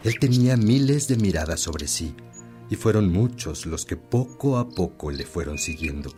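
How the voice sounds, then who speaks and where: Man acting a deep-voiced, wise old priest. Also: lively intonation, music in the background. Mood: reassuring, fatherly. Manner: measured, earnest storytelling. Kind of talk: Spanish-language radio drama acting.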